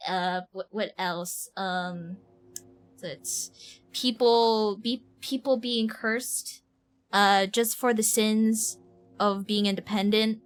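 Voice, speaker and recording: A woman streaming a game, giving casual live reaction commentary in an animated, exaggerating manner. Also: medium pitch, slow. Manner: animated, mimics lines with exaggeration.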